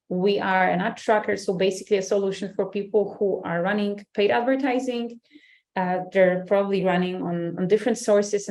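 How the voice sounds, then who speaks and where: A woman's voice: mid-range voice, a little mellow. Woman hosting a webinar, medium voice, chatty lots of ums. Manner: upbeat, chatty, many fillers and restarts. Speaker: webinar host, head of marketing. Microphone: video call.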